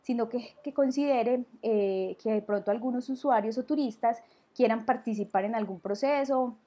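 A woman's voice: on the high side, warm tone. Young woman giving business advice. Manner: measured, explanatory, many "eh" fillers. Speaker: tourism marketing advisor. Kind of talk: advice on a video call. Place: small room indoors at home. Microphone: webcam with earphone mic.

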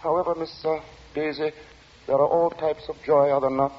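A man playing a pious, brooding gentleman lodger in a 1940s radio drama, with a formal, preachy manner. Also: fairly high pitch, steady tone, brisk, big-room sound, voice pushed up, lightly acted. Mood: solemn, insinuating. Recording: dull, noisy 1940s radio recording.

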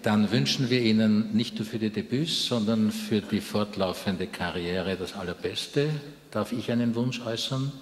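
A man's voice: fairly low pitch, moderate pace. An older man hosting a live interview on a large opera stage, speaking into a headset microphone. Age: older, 60s or 70s. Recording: echoey hall, faint background noise.

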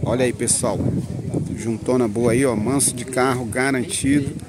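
A man's voice: mid-range voice, fairly even intonation, moderate pace. A man reporting casually from an outdoor cattle fair, upbeat and full of repeated catchphrases. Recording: loud outdoor noise.

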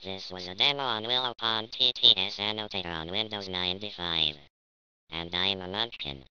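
A synthetic male text-to-speech voice playing a munchkin in a robotic software demo. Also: sits low, moderate pace, clear, resonant tone, no echo. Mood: flat robotic. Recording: dull lo-fi computer audio.

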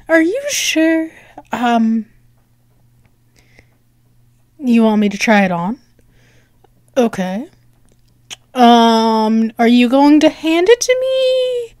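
A woman playing a flustered, bratty but affectionate cat-girl girlfriend in an ASMR roleplay, halting and teasing as she stammers. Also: sits high, lively intonation, darkish tone, dry recording, studio quality. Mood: flustered, incredulous. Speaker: audio roleplay performer.

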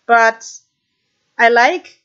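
A young woman with a German accent, speaking English to her webcam in a halting, thoughtful way with many fillers. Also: fairly high pitch, fairly bright tone, smooth. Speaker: vlogger. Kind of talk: casual talk to camera. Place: small room indoors. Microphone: webcam at desk. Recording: dull lo-fi webcam audio.

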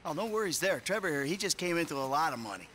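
A jaded American retired crook in a video game, middle-aged and sardonic. His voice is high for a man and bright, swinging widely as he swears, with faint noise behind. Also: animated, quick pace. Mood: sarcastic exasperation. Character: bitter, sarcastic, foul-mouthed middle-aged retired criminal. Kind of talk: naturalistic video game dialogue.

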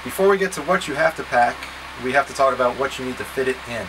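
A man in his thirties with a slightly high-pitched-to-middle, ordinary voice explains things step by step like a scout leader. He is plain-spoken and casually directive, talking to a camera a few feet away in a small indoor space, with a clear steady hum of noise behind him. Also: quick pace. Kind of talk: instructional packing talk to camera. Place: small wood-panelled boat cabin, indoors.

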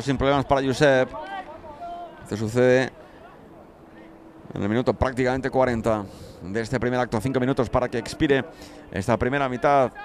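A man with a Spanish accent from Spain giving live play-by-play football commentary. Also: medium pitch. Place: outdoor football ground. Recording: outdoor stadium crowd noise behind. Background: crowd noise.

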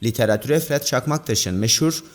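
A man's voice: mid-range voice, normal speed. A man hosting and narrating a scripted countdown video. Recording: music bed under voice. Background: background music.

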